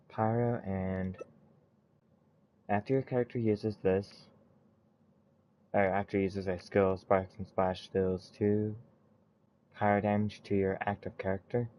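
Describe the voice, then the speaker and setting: A man giving casual gameplay commentary, haltingly reading text aloud and muttering to himself. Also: on the low side, warm tone. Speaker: gaming streamer. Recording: faint background noise.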